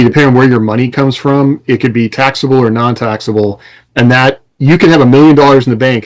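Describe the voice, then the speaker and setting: A man's voice: medium pitch, low-quality recording. A man co-hosting a podcast, explaining things informally in a casual chat. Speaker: podcast co-host.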